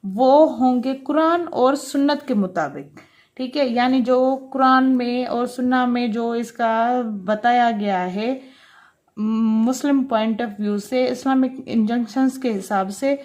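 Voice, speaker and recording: A woman's voice: a little high, darkish tone. A woman giving an explanatory law lecture, mixing in English terms and often restating her points. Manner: repeats and rephrases points, checking in with the listener.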